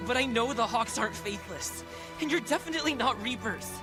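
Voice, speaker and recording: Woman playing an earnest youngster with lively pitch. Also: fairly quick, loud music behind the voice, close to an everyday voice. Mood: pleading, upset. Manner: earnest, pleading. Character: earnest, anxious, loyal youngster. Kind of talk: dramatic video game acting.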